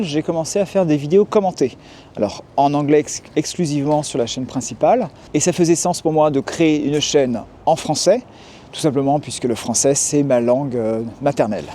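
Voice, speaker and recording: Man in his forties from France, warm voice, calm vlogger on a city street. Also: on the high side, slight background noise. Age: 40s. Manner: calm, explanatory, frequent hesitations. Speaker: YouTuber and tour guide. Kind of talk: casual to-camera vlog.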